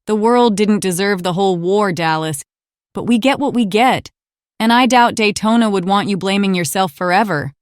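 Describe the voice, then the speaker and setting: A woman voicing a kind, steady female warplane, a veteran comforting a friend in a gentle, reassuring way in an animated drama. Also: medium pitch, moderate pace, clear, resonant tone, dry recording, clean studio sound, lightly acted. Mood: consoling.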